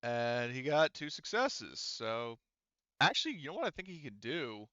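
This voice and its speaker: A man's voice: mid-range voice, very animated, moderate pace, muffled recording, background noise. A man running a tabletop roleplaying game as game master, explaining rules casually and thinking aloud.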